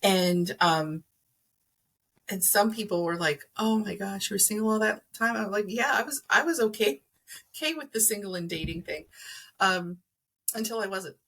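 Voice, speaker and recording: A woman's voice: medium pitch, normal speed, a bit bright. A middle-aged woman explaining things conversationally as an interview guest, earnest and emphatic, acting out imagined remarks. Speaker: podcast guest, energy healer.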